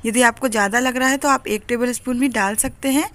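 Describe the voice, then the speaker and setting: A woman's voice: on the high side, steady tone, a little fast, fairly bright tone. A woman narrating a step-by-step recipe tutorial in an instructive, explanatory manner. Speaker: home cooking host. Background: music.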